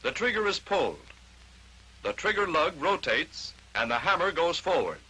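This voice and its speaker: A man's voice: fairly high pitch, sing-song, normal speed, bright, forceful tone, breathy edge, poor audio. An American man narrating a 1940s instructional training film, explaining step by step in short declarative statements on a vintage film soundtrack.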